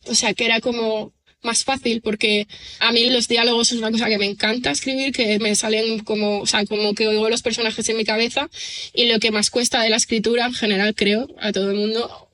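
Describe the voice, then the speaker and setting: Bright-voiced woman musing with many fillers. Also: on the high side, flat delivery, fairly quick. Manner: thoughtful, rambling with many fillers and restarts. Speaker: writer and screenwriter guest. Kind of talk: casual podcast interview.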